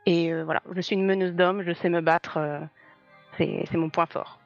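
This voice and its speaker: A woman player describes her character slowly, pausing often. Her voice sits low and sounds a little dull and dry, with faint music behind her. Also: fairly even intonation. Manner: hesitant, many fillers. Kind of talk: introducing her character in a tabletop game.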